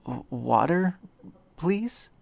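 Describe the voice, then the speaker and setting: Frail, confused young woman played by a male reader, soft, hesitant, polite, slightly high, audiobook dialogue. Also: lively intonation, drawn-out words, gravelly, no echo, exaggerated voice. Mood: weak, pleading. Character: weak, grateful, confused young woman with amnesia.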